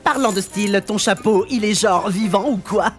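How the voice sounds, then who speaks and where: A woman's voice: medium pitch, normal speed, faint music behind, lightly acted. A woman voicing a flirty, cocky, sleazy male demon in a cartoon fandub. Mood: teasing. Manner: sassy, teasing.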